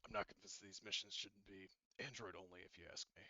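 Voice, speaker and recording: A man voicing a gruff, skeptical starship captain in a tabletop game, with clipped, formal speech. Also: low-pitched, fairly even intonation, quick pace, no room sound, lo-fi sound. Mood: dry disapproval. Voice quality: gruff, hushed. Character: gruff, dry, disapproving male starship captain.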